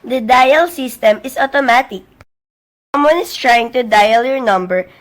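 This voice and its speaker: High-voiced, polite woman telephone operator, acted by a woman. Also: lightly acted. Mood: patient, formal. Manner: formal, measured, courteous. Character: polite, unflappable female telephone switchboard operator. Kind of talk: amateur suspense radio play acting.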